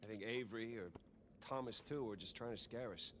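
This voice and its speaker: An actor playing a teasing, easygoing treasure hunter. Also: male voice, on the low side, a little fast, fairly bright tone, a little hiss or hum, natural voice. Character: teasing older-brother male treasure hunter.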